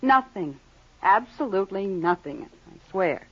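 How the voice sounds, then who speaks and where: A woman's voice: mid-range voice, sing-song, a little slow, breathy edge, exaggerated voice. An actress playing a tense, defensive wife hiding her past, terse and guarded, in 1950s radio crime drama style. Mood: edgy, evasive. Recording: muffled old-time radio recording.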